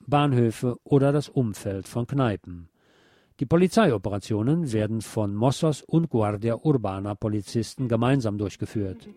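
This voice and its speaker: A man's voice: mid-range voice, warm tone. A man presenting local news on the radio in a scripted reading style.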